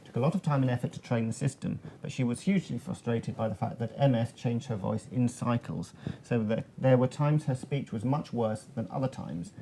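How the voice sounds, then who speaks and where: A man hosts a panel in a gravelly, creaky voice. He puts questions informally and conversationally, with some hesitant phrasing. Clear background noise and clicking sit behind him. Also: medium pitch, dark tone, rough recording. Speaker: moderator of a panel webinar. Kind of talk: conversational panel moderating, relaying audience questions.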